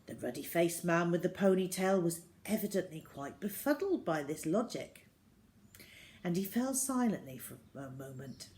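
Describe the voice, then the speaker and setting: A woman reading a children's story aloud as narrator, in an expressive storytelling style. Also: lowish voice, normal speed.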